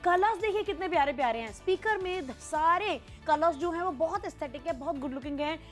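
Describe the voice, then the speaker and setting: A woman with a high voice presents a home shopping segment. She chats in a lively, persuasive way, slipping English phrases into Hindi. Faint music plays beneath her. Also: brisk. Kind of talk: chatty sales pitch mixing Hindi and English.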